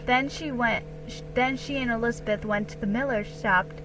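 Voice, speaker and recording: A woman's voice: a little high, normal speed, over music, low-quality recording. A woman reading a children's storybook aloud as a dramatic narration. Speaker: story reader.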